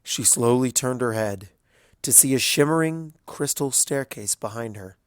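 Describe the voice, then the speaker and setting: A man reading a dramatic story as narrator in a solemn, hushed, deliberate way. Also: medium pitch, clean tone, no echo, studio quality. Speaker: fan fiction narrator.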